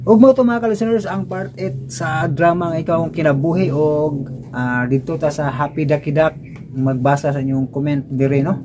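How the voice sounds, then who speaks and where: A man's voice: fairly high pitch, steady tone, moderate pace, over music. A man hosting casually, chatting to his listeners as a channel host. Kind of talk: casual channel outro with thanks. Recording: clear background noise and ambience.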